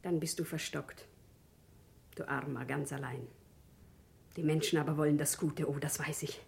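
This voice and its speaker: An actress playing an impassioned, defiant shopkeeper's wife in Paris, pleading dramatically in a classic German radio play. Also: female voice, deep voice, fairly even intonation, moderate pace, creaky, breathy edge, full character voice. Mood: sorrowful, quiet.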